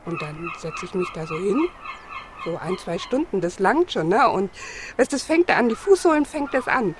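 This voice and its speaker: A woman's voice: mid-range voice, sing-song, moderate pace, lightly acted. A woman playing a dreamy interviewee in a radio play, musing in colloquial, trailing phrases. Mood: dreamy, absorbed. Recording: clear outdoor noise with farmyard birds.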